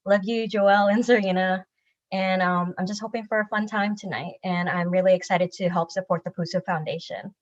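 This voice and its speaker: A woman in her twenties from Virginia Beach introduces herself in a chatty, friendly way. Her voice sits in the middle range. The recording is somewhat dull and lo-fi. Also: mid-range voice. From Virginia Beach, USA. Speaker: pageant contestant. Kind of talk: self-introduction.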